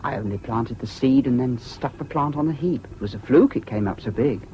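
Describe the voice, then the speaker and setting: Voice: mid-range voice, brisk, warm tone, lightly acted. An actor playing an earnest, politely self-deprecating, eccentric English schoolboy with a public-school accent in a radio drama. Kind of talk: 1970s BBC radio drama acting. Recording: muffled, hissy old radio broadcast.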